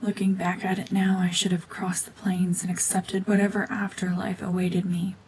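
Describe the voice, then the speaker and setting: A cold, battle-worn witch, played by a woman, speaks in a low, breathy hush. Her delivery is brooding and deliberate, with very little rise or fall in tone. It is a dramatic ASMR roleplay, with a faint low rumble in the recording. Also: little pitch movement, natural voice, poor audio. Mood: haunted, bitter. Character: cold, mean, world-weary gorgon witch, war veteran cyborg.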